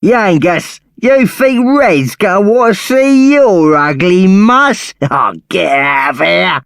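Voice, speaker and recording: A rough, cheeky gang henchman from a video game with a British accent. The voice sits high for a man and comes through clean and dry, with slow, slangy, brash delivery. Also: expressive, fairly bright tone, no room sound, exaggerated voice. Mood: brash, mocking. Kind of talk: video game character acting.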